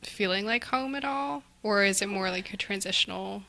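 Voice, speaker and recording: A woman in her twenties from Texas, co-hosting a podcast in relaxed, casual chat full of likes and ums. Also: mid-range voice, normal speed, gravelly. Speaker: podcast co-host.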